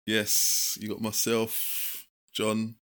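A man's voice: fairly low pitch, completely flat delivery, extremely slow, music in the background. A man, a podcast guest chatting easily and laughing along with friends. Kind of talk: casual chat among old friends.

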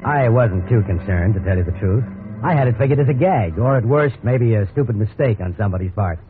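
A man's voice: lowish voice, expressive, brisk, over music, lightly acted. An actor playing a breezy, incredulous American salesman husband in a 1950s radio drama, wry and conversational. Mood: wry, uneasy. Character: cheerful, incredulous suburban husband, an encyclopedia salesman. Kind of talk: 1950s radio drama acting with wry first-person narration. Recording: tinny, hissy 1950s radio recording.

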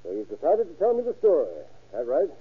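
A firm, doubting lawman presses a prisoner with businesslike questions. His voice is a little husky, in vintage radio crime acting. The sound is narrow and tinny, like an old broadcast. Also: fairly high pitch, wildly swooping pitch, fast talker, full character voice. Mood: satisfied, firm. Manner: businesslike, probing.

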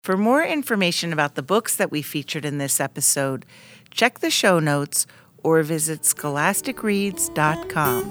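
Low-voiced woman hosting a podcast. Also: sing-song, moderate pace, a bit bright. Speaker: podcast host. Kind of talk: scripted introductions and interview.

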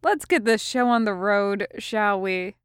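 Woman reading a wry, genial male adventurer, smooth. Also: medium pitch, lively intonation, a little slow, no echo, studio quality, lightly acted. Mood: wry, businesslike.

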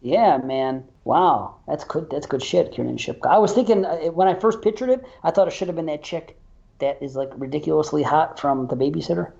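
A man's voice: a little high, animated, fairly quick, vocal fry, mellow, highs cut off. A man co-hosting a casual podcast, rambling loosely and enthusiastically with plenty of swearing. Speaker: podcast co-host. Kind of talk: casual chat.

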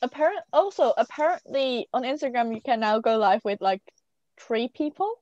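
A woman's voice: a little high, a little mellow, no room sound, highs cut off. A teenage girl in casual, teasing chat with a friend, giggling often. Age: teens. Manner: giggly, teasing, quick banter. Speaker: co-host.

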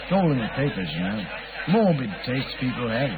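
An actor playing a brisk, matter-of-fact police inspector in an old radio drama. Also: male voice, medium pitch, expressive, close to an everyday voice. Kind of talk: 1940s radio acting. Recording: tinny, hissy old radio broadcast.

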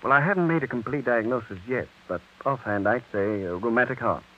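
An actor playing a matter-of-fact doctor with an offhand professional manner in a 1930s radio drama. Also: male voice, medium pitch, a little fast, natural voice. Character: brisk, matter-of-fact male police-call doctor. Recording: dull, hissy vintage radio recording.

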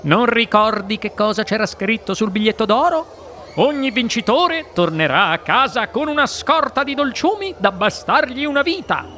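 A narrator voicing an eccentric, playful male candy maker in an audiobook, with a lively, theatrical delivery. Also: moderate pace, a little hiss or hum. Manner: lively, theatrical, raised pitch. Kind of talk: audiobook character voice.

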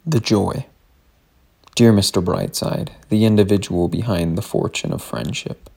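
A man's voice: deep voice, unhurried, gravelly, darkish tone, breathy edge. A man reading a scripted first-person story aloud, in the form of a personal letter. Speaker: reader of a written story.

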